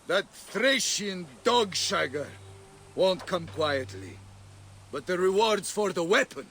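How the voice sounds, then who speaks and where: An actor playing a cocky, cruel Roman gladiator master in a video game, with a mocking, swaggering manner. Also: male voice, high voice, sing-song, slow pace, fairly bright tone, slight background noise, full character voice.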